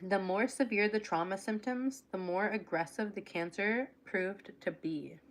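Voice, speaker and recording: A woman's voice: mid-range voice, measured pace, very noisy. A young woman hosting a casual livestream, reading aloud and chatting affectionately with her viewers. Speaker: livestream host.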